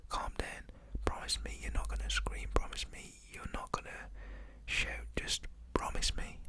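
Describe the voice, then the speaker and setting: A man whispering the lines of an excited teenage girlfriend in a spoken story. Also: measured pace, lightly acted.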